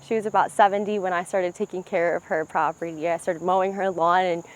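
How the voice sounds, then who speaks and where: A woman's voice: lowish voice, fairly even intonation, brisk, low-quality recording. A young woman with a thick Midwestern accent, a rapper speaking candidly in a documentary interview and often restarting her words. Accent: Midwestern American. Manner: candid, reflective, self-correcting restarts. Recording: noticeable background noise.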